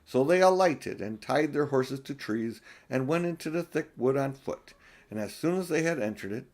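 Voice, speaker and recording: An older man reads a storybook aloud in a mid-pitched voice. He takes his time over the phrases and leans on key words with clear emphasis, as an amateur-style audiobook narrator would. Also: expressive, normal speed, darkish tone. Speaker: audiobook reader. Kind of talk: storybook read-aloud with casual asides.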